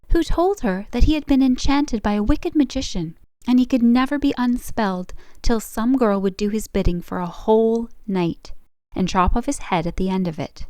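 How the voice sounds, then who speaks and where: A woman's voice: mid-range voice, darkish tone, no room sound. A woman reading a scripted folk tale as a storyteller, in storybook narration style. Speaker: storyteller, channel host.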